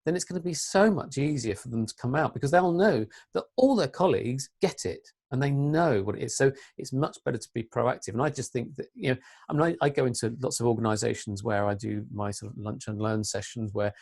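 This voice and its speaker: A woman with a deep voice and an English accent, speaking earnestly as an interview guest, with frequent restarts and fillers. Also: fairly quick, hardly any pauses, darkish tone. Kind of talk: interview conversation.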